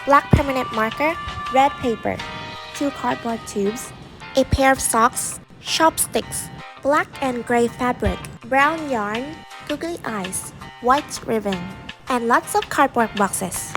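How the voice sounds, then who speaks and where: A woman's voice: a little high, slow, over music, poor audio. A young woman reading a scripted how-to narration as a student presenter. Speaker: student presenter of a craft tutorial.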